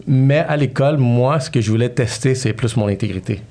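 A man in his thirties speaks with a Quebec French accent and a gravelly, creaky edge in a middle-pitched voice. He tells stories in a loose, animated way and drops English slang into his French. He sounds like a relaxed podcast guest. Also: a little fast. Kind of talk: casual storytelling interview.

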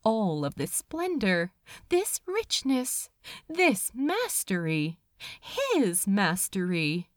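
Woman, audiobook narrator, slow pace, animated intonation, dramatic storytelling, scripted fiction. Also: medium pitch, dry recording, studio quality.

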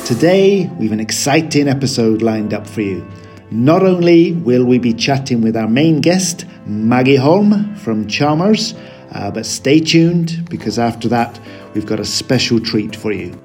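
A man's voice: mid-range voice, expressive, a little slow, darkish tone, faint music behind. A man from the UK hosting a podcast, upbeat with frequent hesitations, in a studio. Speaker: podcast host.

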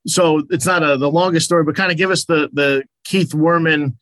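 A man's voice: fairly high pitch, fairly even intonation, brisk, a bit bright, no echo. A man interviewing a baseball coach, asking conversational, leading questions. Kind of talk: sports interview.

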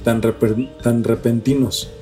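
A man's voice: medium pitch, level tone, measured pace, mellow. Mexican man chatting over guitar music. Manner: slangy, mildly profane, speculative. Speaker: co-host of a paranormal news show. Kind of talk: casual news chat, part reading and part commentary.

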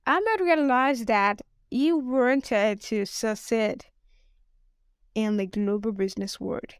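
High-voiced Nigerian woman coaching in English. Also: slow, clear, resonant tone, no echo, clean studio sound. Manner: emphatic, addresses the listener directly. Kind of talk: podcast coaching talk.

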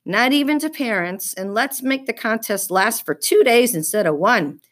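A woman's voice: on the high side, expressive, moderate pace, pitched above the performer's own voice. A woman reading a children's story, voicing a stubborn, indignant fifth-grade girl who is snippy and haughty. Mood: challenging.

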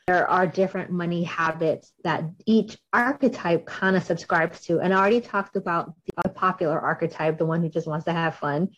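Bubbly podcast host woman, muffled audio. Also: fairly low pitch. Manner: bubbly, chatty, many fillers. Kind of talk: podcast interview. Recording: dull lo-fi audio.

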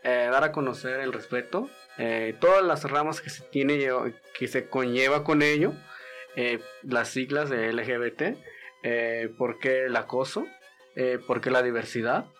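A man's voice: medium pitch, unhurried, a bit bright, soft background music. A man whose first language is Wixárika, answering questions conversationally as an interviewed activist. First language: Wixárika (Huichol).

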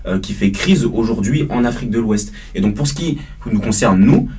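A man's voice: lowish voice, lively intonation, moderate pace, darkish tone, distant mic, a little hiss or hum. A man in his twenties from the Paris area, a student guest who speaks hesitantly with many fillers and restarts. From Paris region. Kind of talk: debate discussion.

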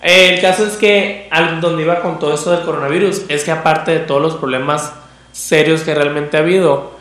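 Young male co-host, slightly high voice, faint Venezuelan accent, campy casual banter, roomy echo. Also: steady tone, a little fast, fairly bright tone. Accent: faint Venezuelan, largely softened. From Maracaibo, Venezuela. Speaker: podcast co-host. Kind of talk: casual comedic chat. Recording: echoey room.

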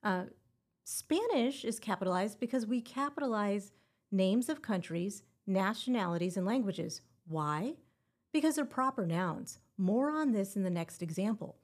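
A woman's voice: mid-range voice, lively intonation, measured pace, a little mellow. A woman hosting a teacher-exam prep podcast, talking in a playful, encouraging way with little puns. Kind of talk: scripted educational podcast.

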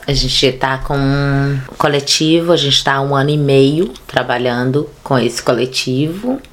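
Low-voiced Brazilian woman, animated. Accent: Brazilian Portuguese. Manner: animated, fast bursts of speech. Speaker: podcast guest, theater artist. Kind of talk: casual conversation.

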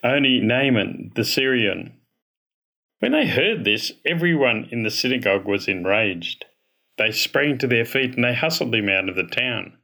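A man reading a gospel passage aloud from a script, with a clear, formal storytelling delivery. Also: mid-range voice, very animated, normal speed, bright, forceful tone. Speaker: scripture reader.

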